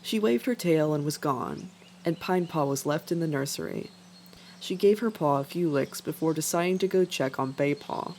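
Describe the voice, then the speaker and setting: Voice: medium pitch, moderate pace, darkish tone, a little hiss or hum. A narrator reading an animal adventure story as scripted narration. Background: faint background music.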